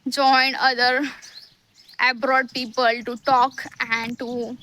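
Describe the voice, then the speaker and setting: A woman's voice: high voice, little pitch movement, takes it slowly, sharp tone, slight background noise, poor audio. A young woman with an Indian accent vlogging to camera outdoors, speaking earnestly and encouragingly with frequent hesitations and restarts. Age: young adult. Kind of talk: motivational vlog to camera. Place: outdoors in a village.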